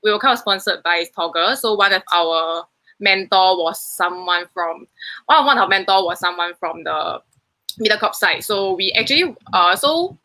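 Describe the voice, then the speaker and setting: Young woman, Singaporean accent, bright voice, chatty, keeps correcting herself. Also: medium pitch, animated, normal speed, poor audio. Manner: rambling, self-correcting, chatty. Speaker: student film producer. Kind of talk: casual interview answers.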